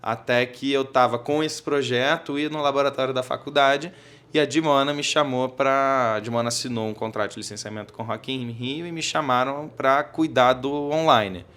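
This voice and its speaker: A man's voice: mid-range voice, normal speed, fairly bright tone. A Brazilian man, a guest on a podcast, explaining in a casual conversational way with many fillers, recorded in a podcast studio. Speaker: podcast guest, tech executive.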